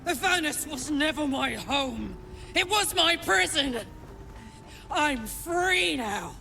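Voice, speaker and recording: A furious, fiery warrior woman in a video game. Her defiance is drawn out slowly in a very high voice, ringing with long reverb over noise. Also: expressive, exaggerated voice. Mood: roaring fury. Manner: drawn-out, forceful, gravelly delivery. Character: enraged fiery female warrior, roaring defiance at pursuers. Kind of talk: dramatic video game acting.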